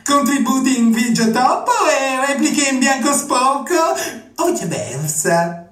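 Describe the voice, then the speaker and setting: Brief: actress as a condescending casting producer, high and drawn out, mic at a distance. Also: female voice, animated, measured pace. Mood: smug mocking. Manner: patronizing, mocking, chuckling, mixes up names. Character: smug condescending female casting producer, middle-aged. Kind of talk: live radio comedy acting. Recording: roomy, distant, faint music behind.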